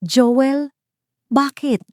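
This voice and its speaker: A woman performs a distraught wife in an audio drama. Her voice is lifted and pleading, trembling with hurt, with a soft, mellow tone. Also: high voice, drawn-out words, no echo, studio quality, pitched above the performer's own voice. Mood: anguished.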